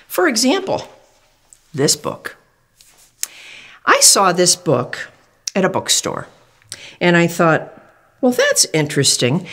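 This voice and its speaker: An older woman reading a picture book aloud to children, animated and exclamatory, asking the young listener questions as she goes. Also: lowish voice.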